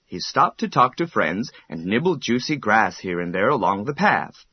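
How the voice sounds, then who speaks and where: Husky, expressive male storyteller. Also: fairly low pitch, normal speed, highs cut off. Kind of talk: scripted children's bedtime story narration.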